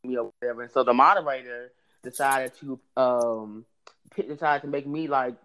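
A man with a high voice telling a story in casual chat, rambling with many restarts. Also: steady tone, moderate pace, pushed and bright. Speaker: podcast guest telling a story.

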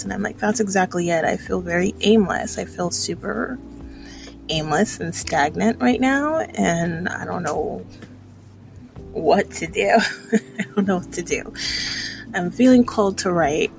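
A woman's voice: on the low side, vocal fry, darkish tone. A woman in her thirties chatting casually in a personal vlog, rambling and hesitant as she reflects on herself. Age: 30s. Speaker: vlogger.

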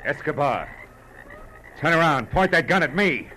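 An actor playing a tough but compassionate private detective, terse and weary, in 1940s radio noir. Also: male voice, fairly high pitch, lively intonation, measured pace, a little hiss or hum. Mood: tense, tough. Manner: terse, weary, hesitant when gentle.

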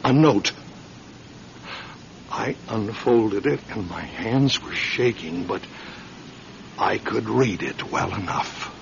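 An actor playing a troubled lawyer running for mayor in a classic radio drama, halting and agitated, repeating words for emphasis. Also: male voice, lowish voice, expressive, creaky, slight background noise, heavily acted. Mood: shaken, haunted. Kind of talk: classic radio drama acting, first-person narration and dialogue. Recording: dull vintage radio recording with music behind.

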